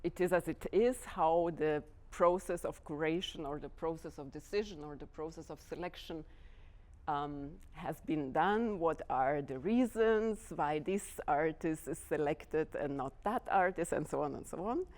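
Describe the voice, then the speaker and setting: A woman from Lithuania with a Lithuanian accent, giving an informal lecture to dance students, hesitant, with many pauses and restarts. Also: low-pitched, darkish tone. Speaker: guest lecturer, artistic director.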